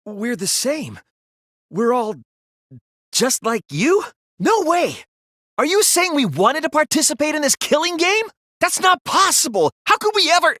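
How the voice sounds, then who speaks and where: A man voicing an earnest, sensitive young student detective in an anime video game, with intense, emotional, sometimes halting delivery. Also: very high-pitched, big pitch swings, bright, forceful tone, no echo, clean studio sound, heavily acted. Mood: defiant, outraged. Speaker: voice actor.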